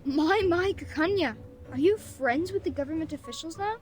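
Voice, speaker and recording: A woman's voice: extremely high pitch, moderate pace, soft background music. A woman voicing a sassy, streetwise boy who mocks the rules with a sly, cynical delivery in a game. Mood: teasing. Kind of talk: game voice acting.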